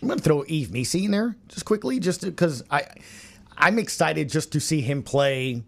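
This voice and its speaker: A man's voice: a little high, lively intonation, normal speed, creaky. A man in casual, informal sports chat as a podcast host. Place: studio roundtable.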